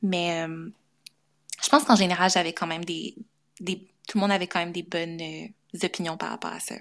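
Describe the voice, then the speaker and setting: A young woman with a Québécois French accent talks in a low, even voice with little rise and fall. She is a medical student being interviewed, and she speaks casually and thoughtfully, with frequent fillers and restarts. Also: moderate pace. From Canada. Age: young adult.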